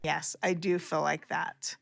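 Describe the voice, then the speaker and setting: Low, gravelly woman in an earnest clip. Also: fairly even intonation, measured pace, dry recording.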